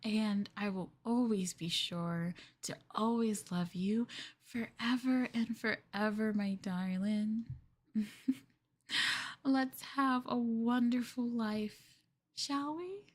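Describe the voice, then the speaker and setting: Yandere girlfriend, performed by a woman: young, possessive, sweetly cooing, very slow ASMR roleplay delivery. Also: a little high. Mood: adoring, possessive.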